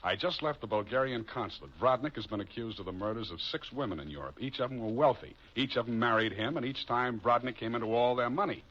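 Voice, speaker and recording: A man's voice: medium pitch, quick pace, few pauses, a bit bright, lightly acted. An actor playing a tough, wisecracking male private detective in a 1940s radio drama, dry and sardonic in his delivery. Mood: stern. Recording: dull old radio recording under music.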